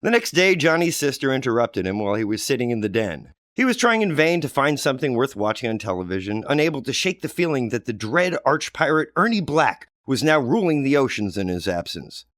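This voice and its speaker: A man reading a children's storybook aloud, wry and animated. His pitch moves a lot, and the sound is dry and close with no room. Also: fairly quick, hardly any pauses, dry recording. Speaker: storybook reader. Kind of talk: read-aloud storybook narration.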